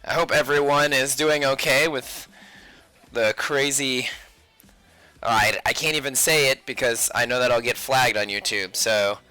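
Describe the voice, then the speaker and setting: Bright-voiced man chatting on a livestream. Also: mid-range voice, a little slow, clear, resonant tone, no echo, faint music behind. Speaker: livestream host, sketch artist. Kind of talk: casual livestream chat while drawing.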